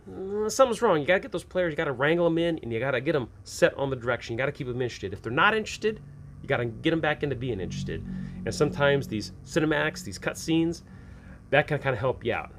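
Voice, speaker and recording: A man's voice: on the high side, expressive, fast talker, fairly bright tone, a little hiss or hum. Emphatic man giving informal advice. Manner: conversational, emphatic, repeats points. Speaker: video host and game master. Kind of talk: informal advice talk to viewers about running tabletop games.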